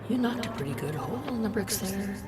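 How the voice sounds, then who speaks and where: A woman's voice: mid-range voice, lively intonation, fast, lightly acted. Radio drama role, actress: needling, teasing female goader, swooping delivery, reverberant, over prominent music. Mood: coaxing. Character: taunting, sly woman goading a guilty man. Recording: roomy echo under loud music.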